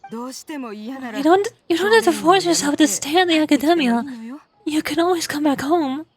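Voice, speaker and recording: A woman voicing a troubled young man's caring relatives in a game, gentle and concerned. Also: high voice, expressive, moderate pace. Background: music.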